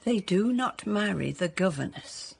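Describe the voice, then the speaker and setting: Fussy, well-meaning older housekeeper, very breathy, creaky voice, animated period radio drama delivery. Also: female voice, medium pitch, unhurried, full character voice. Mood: worried warning. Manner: hesitant, fretful.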